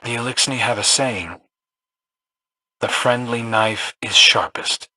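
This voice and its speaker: A man playing a gentle, doubting adventurer in a video game, earnest and reflective. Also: medium pitch, takes it slowly, no room sound, exaggerated voice, rough recording. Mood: earnest, pensive. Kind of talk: video game character acting. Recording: clear ambient noise behind voice.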